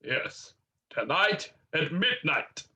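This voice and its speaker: Doom-laden male spirit, high-pitched, extremely slow, portentous, mocking, radio drama. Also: sing-song. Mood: solemn warning. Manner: solemn, portentous. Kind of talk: radio play acting. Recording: loud music behind the voice.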